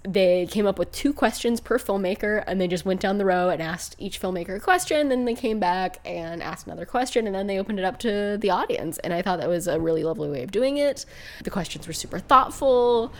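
A soft-voiced woman co-hosting a casual movie podcast, recorded in a living room at home. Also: mid-range voice, animated, a little fast. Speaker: podcast co-host.

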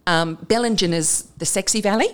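A woman's voice: medium pitch, a little fast, a bit bright, clean tone. An Australian woman in her fifties, a broadcaster and interview guest, telling personal stories candidly in casual conversation, with frequent ums and self-corrections. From northern New South Wales, Australia.

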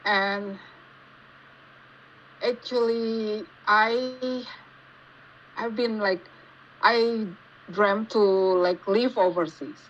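A woman's voice: medium pitch, fairly even intonation. Indonesian-accented woman on a dull, lo-fi recording. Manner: halting, searching for words in non-native English. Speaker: podcast guest, geologist. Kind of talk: casual interview answers. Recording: dull lo-fi call with faint noise.

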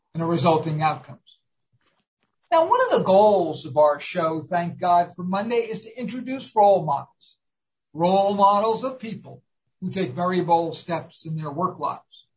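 A man's voice: sits high, poor audio. Earnest formal male talk-show host. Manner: earnest, formal, scripted-sounding delivery.

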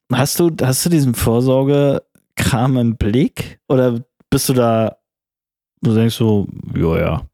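Man, podcast co-host, has a cold, mellow voice, rambling, coarse slang, dry close mic. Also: mid-range voice, no room sound. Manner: rambling, coarse colloquial, frequent restarts. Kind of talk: casual chat.

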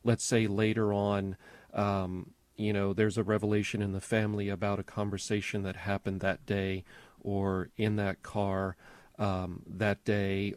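A man co-hosting a religious call-in radio show, answering questions in an earnest, measured way with frequent ums. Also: fairly low pitch, a little mellow, no echo. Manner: earnest, measured, many fillers. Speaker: co-host, religious expert. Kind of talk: calm explanatory talk.

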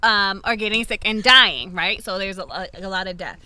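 A woman turning forty, a podcast guest, explaining things haltingly with lots of ums. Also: mid-range voice, sharp tone, no room sound. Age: 40s. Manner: halting explanations with many fillers. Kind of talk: casual chat.

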